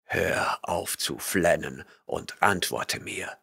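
A burly barbarian warrior growls his questions low and quiet, impatient and menacing, as a male narrator voices him. His voice drops into a hushed, rough register. It is heard in a storybook reading. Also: slow, heavily acted. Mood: gruff.

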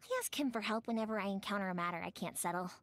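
A woman voicing a cheerful, warm-hearted young fireworks maker who tells stories in an animated, chatty way, as a video game character. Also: mid-range voice, racing, dry recording, close to an everyday voice. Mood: fond, chatty. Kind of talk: video game character voice lines, conversational storytelling.

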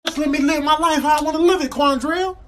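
A man's voice: very high voice, noisy background, voice pushed up. A man voicing a defensive, self-righteous young boy in a comedic voiceover, emphatic and argumentative. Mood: defiant. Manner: emphatic, argumentative, rapid bursts.

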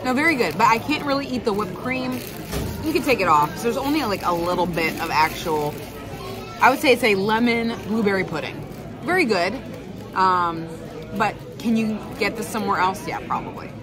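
A woman in her thirties hosting a casual food review vlog, chatty and lively, filmed at arm's length in a busy indoor restaurant. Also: mid-range voice, over music. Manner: chatty, lively, quick asides and laughs. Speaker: food vlogger host. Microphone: phone or camera at arm's length. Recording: noisy crowded restaurant. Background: crowd chatter.

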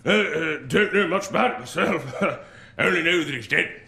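A man's voice: fairly high pitch, expressive, normal speed, gravelly, bright, forceful tone, full character voice. Man as offhand businessman in an echoing room. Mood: indifferent, amused. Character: offhand, indifferent businessman gossiping about a death. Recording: roomy, echoing sound.

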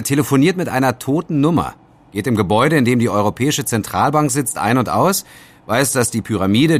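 Actor as lively, doubting young student buddy. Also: male voice, mid-range voice, close to an everyday voice. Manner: colloquial, insistent. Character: young male student, doubting, argumentative best friend. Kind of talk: German audio drama acting.

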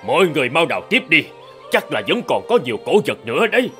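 A man playing a careful archaeologist leading a dig in an animated fairy tale, with an instructive manner. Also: fairly high pitch, fast talker, faint music behind. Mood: directing.

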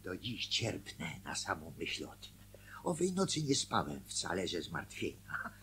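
An actor playing an anxious, guilt-ridden small-town husband in a radio play, hesitant and agitated in conversation. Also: male voice, low voice, wildly swooping pitch, unhurried, bright, forceful tone, husky, exaggerated voice. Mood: uneasy confession. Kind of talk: Polish radio play acting.

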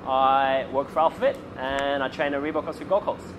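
A man in his thirties hosting a casual video diary, chatty and relaxed, with many fillers, recorded outdoors on a lapel mic. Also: mid-range voice, brisk. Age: 30s. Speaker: vlog host. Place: outdoors before a red roller door. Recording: noisy street background.

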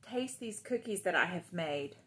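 A woman voicing an excitable male toad from a children's storybook, eagerly exclaiming to his friend. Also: on the low side, a little slow. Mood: excited.

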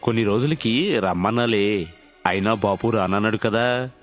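A man voicing a self-interested, dismissive city-dwelling elder son in a story, curt and low-key. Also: moderate pace, close to an everyday voice. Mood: placating. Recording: music under the voice.

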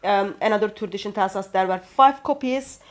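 A young woman with a Turkish accent and a mid-range voice. She speaks English carefully, pausing with fillers and using non-native phrasing. She sits in a study lined with books. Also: medium pitch. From Turkey. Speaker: co-host. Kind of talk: conversational discussion.

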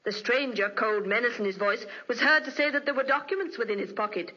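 An actress playing a lively, affectionate young gentlewoman with an earnest, dramatic manner in a 1940s radio drama. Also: a little high, a little fast, husky. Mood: eerie storytelling. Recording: dull restored 1940s radio recording.

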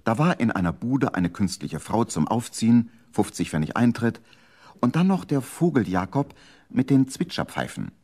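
A man's voice: mid-range voice, very animated, normal speed, darkish tone. A man narrating a children's radio play in a playful, dramatic storytelling manner.

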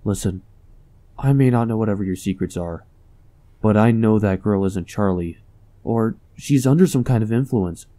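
A man's voice: lowish voice, normal speed, mellow, natural voice. A young man acting an earnest, anxious, determined investigator in a comic dub. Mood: urgent concern. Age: young adult.

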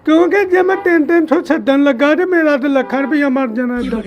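A man's voice: extremely high pitch, a little fast, a crowd in the background. A man with a Punjabi accent making a prank phone call as a vegetable seller, pleading and insistent. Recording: phone call with faint noise.

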